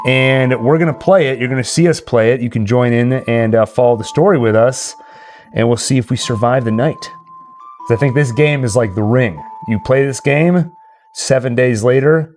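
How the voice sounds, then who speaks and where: A man's voice: mid-range voice, normal speed, darkish tone. Joking man hosting, music behind. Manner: joking, chatty. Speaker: live stream host. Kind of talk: casual live stream chat. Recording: spooky ambient music playing behind. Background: ambient Halloween music.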